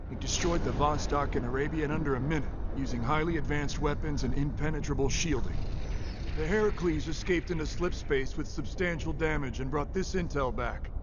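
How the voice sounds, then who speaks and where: A man playing a grave, resolute senior naval officer, formal and measured, in an animated military sci-fi drama. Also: fairly high pitch, noisy background, close to an everyday voice. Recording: slightly dull, music behind.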